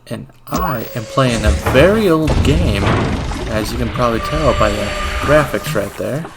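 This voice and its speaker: Young man gaming over loud music. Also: medium pitch, expressive, moderate pace. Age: 20s. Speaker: gaming host. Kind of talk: casual let's play commentary. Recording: loud game music behind the voice. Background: game music and sounds.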